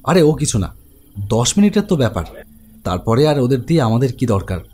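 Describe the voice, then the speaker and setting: A young man voicing a protective elder brother who also narrates the animated ghost story, brisk, teasing and reassuring. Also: medium pitch, slightly rough.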